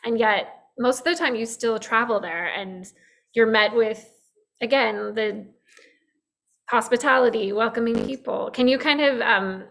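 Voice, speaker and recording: A woman hosts a film Q&A, speaking a little higher than most. She is friendly and asks her questions in a loose, chatty way, often pausing with an um. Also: vocal fry, a bit bright. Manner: friendly, with frequent fillers. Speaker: festival Q&A host. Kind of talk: moderating a film Q&A.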